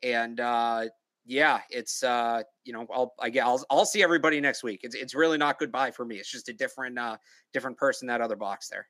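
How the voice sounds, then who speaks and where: Bright-voiced young man, casual podcast talk. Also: mid-range voice, fairly even intonation, no room sound. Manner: halting, filler-heavy, repeats words. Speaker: podcast co-host.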